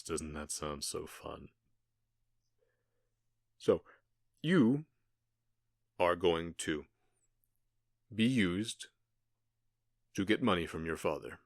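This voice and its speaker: A man in an ASMR roleplay playing a menacing, gloating outlaw gang boss who taunts with mock politeness and deliberate pauses. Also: deep voice, a bit bright, dry recording, studio quality, heavily acted. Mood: mock-polite, taunting.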